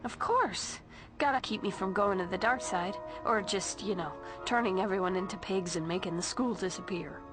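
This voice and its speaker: Woman playing eager chatty teen witch girl for a game, mid pitch, soft music behind. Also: moderate pace, lightly acted. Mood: joking. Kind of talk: video game voice acting.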